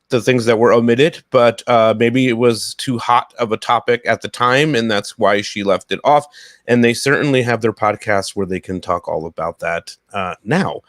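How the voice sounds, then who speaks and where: A man's voice: mid-range voice, fairly bright tone, no room sound, clean studio sound. A man, hosting a casual panel chat on a podcast. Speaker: podcast host. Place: indoor room, on a video call.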